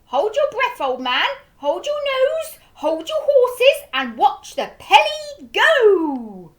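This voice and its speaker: Woman doing a cheeky shouting monkey. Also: wildly swooping pitch, slow pace, full character voice. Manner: shouted, high and brash. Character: cheeky male monkey, brash.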